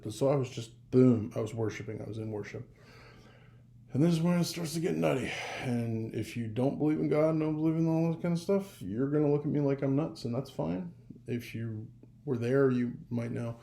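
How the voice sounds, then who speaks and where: A young man telling a personal story in a casual vlog, rambling and animated, with a gravelly voice. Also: medium pitch, normal speed. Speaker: vlogger.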